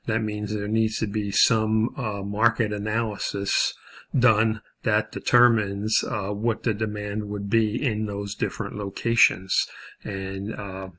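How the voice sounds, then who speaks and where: A man instructing in a video lesson, explaining a worked problem step by step in a halting way. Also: fairly low pitch, flat delivery, pushed and bright. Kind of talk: video lesson walking through example problems.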